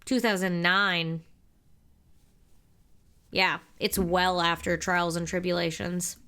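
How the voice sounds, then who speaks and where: A woman's voice: on the low side, level tone, takes it slowly, bright, forceful tone. A woman streamer chatting casually and laughing easily while playing a game together.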